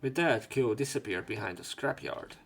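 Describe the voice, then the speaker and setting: A man's voice: mid-range voice, lively intonation, normal speed, gravelly, soft background music. Casual young man narrating a game. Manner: casual and profane, with wry asides. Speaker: let's play narrator. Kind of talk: reading game text aloud with casual commentary.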